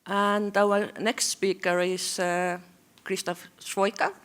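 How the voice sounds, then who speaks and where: A woman's voice: mid-range voice, little pitch movement, slow pace, fairly bright tone, clear, resonant tone. A woman from Estonia chairing a conference session, speaking in brief, procedural remarks.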